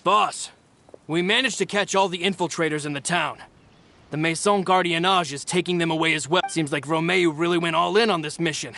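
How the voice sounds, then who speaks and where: A calm, higher-voiced loyal aide. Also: male voice, brisk, creaky, slight background noise. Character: loyal young male aide, calm and reassuring.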